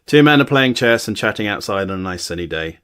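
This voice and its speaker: A man with a very low, deep voice leads a casual podcast chat, walking through a film's plot step by step. He drops dry, wry asides between the summary. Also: animated, fairly quick, a bit bright, clean tone. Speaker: podcast host recapping a film. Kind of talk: casual film recap, scene by scene.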